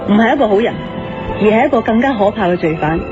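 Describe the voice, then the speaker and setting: An actress playing a shrewd, confident wife who reasons like a detective in a Cantonese radio drama, deliberate and assertive. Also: female voice, mid-range voice, expressive, close to an everyday voice. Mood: confident, analytical. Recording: dull lo-fi radio recording, music behind.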